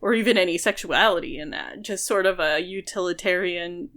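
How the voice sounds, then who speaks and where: A woman's voice: fairly low pitch, brisk, a bit bright. A woman co-hosting a podcast, casually discussing a book and explaining her reading at length in an analytical way. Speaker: podcast co-host.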